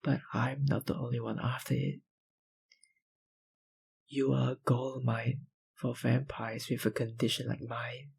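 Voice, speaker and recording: An actor playing a possessive, powerful vampire kidnapper in an ASMR roleplay, breathy and husky, with a dominant, condescending manner. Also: male voice, mid-range voice, little pitch movement, a little slow, long pauses, darkish tone, exaggerated voice. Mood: obsessive, explaining. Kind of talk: ASMR roleplay, dramatic monologue.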